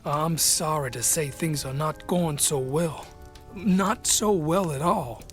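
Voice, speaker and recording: An actor playing a weary, polite army corporal in a radio drama, speaking haltingly and with deference. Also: male voice, fairly high pitch, faint music behind. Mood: grave.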